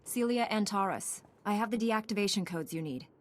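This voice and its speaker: A woman playing a calm, dutiful knight heroine in a video game, formal and self-assured. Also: medium pitch, moderate pace, close to an everyday voice. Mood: composed, resolute. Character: composed, dutiful young female Jedi knight. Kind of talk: video game protagonist dialogue.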